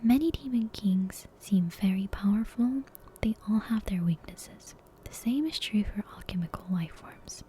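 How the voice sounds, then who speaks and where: Woman doing asmr storytime, all soft breathy whisper, mellow and hushed, bit of background noise. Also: mid-range voice. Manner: hushed, deliberate storybook narration. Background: soft game soundtrack music.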